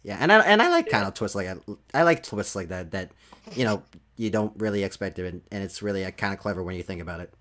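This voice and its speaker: Low-voiced man in casual, halting chat. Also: animated, a bit bright. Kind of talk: casual podcast chat about movies.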